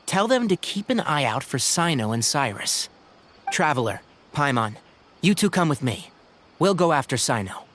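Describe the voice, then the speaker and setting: A polite young scholar speaks courteously with a lively rise and fall. He stays composed and takes charge gently. A faint hiss lies under the voice. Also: on the high side, normal speed. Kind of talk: game voice acting.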